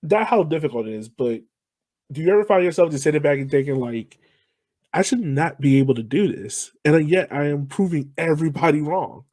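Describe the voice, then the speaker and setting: A man's voice: fairly high pitch, lively intonation, fairly quick, warm tone, no echo. A man hosting a sports podcast, asking questions in a casual, conversational style. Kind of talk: casual interview.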